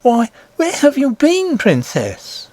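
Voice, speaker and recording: A man voicing a sceptical, scolding woman nursemaid in a storybook, brisk, dismissive and sarcastic. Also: extremely high pitch, huge pitch swings, darkish tone, dry recording, voice pushed up, exaggerated voice. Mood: anxious scolding.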